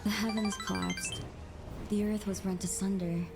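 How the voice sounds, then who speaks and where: A woman tells a scripted tale in a formal, solemn narrator's style. Her voice sits at an average pitch. Music plays clearly behind her throughout. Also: level tone, moderate pace. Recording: music under narration, played from video.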